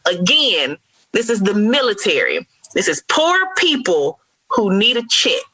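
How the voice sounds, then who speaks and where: Bright-voiced, candid American woman. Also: medium pitch, huge pitch swings, a little slow, breathy edge. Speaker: podcast guest. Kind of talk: casual history podcast conversation.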